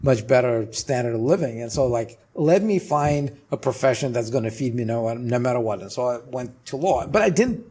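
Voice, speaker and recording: A man's voice: mid-range voice, lively intonation, moderate pace, hardly any pauses, dark tone, breathy edge. A man in his forties from Russia with a Russian accent, telling his story as a podcast guest, with frequent restarts and fillers. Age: 40s. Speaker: guest, trademark attorney. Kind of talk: casual podcast interview.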